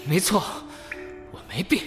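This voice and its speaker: A man playing an intense, scheming young mental patient in an audio drama, muttering his thoughts, often in breathy whispers. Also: unhurried, a bit bright, soft background music, natural voice. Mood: elated, delusional. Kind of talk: Chinese audio drama acting.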